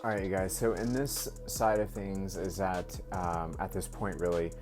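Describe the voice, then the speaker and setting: A man's voice: low-pitched, level tone, normal speed. A man in his thirties vlogging casually, rambling with many fillers and restarts. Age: 30s. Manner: rambling, filler-heavy, repeats himself. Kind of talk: casual talk to camera. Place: indoors at home work space. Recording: roomy echo, faint music behind.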